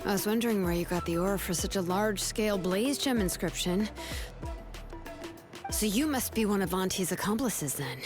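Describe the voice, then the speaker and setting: A woman voicing a brisk adult smith in a video game who smooths over awkward moments. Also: mid-range voice, lively intonation, music in the background, lightly acted. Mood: reassuring. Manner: brisk, reassuring, covers awkwardness.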